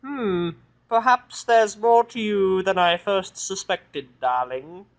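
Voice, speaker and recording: A man's voice: sits high, slow, creaky, pitched above the performer's own voice, exaggerated voice. A man voicing a refined female cartoon pony, poised and probing, with an affected genteel manner. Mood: intrigued.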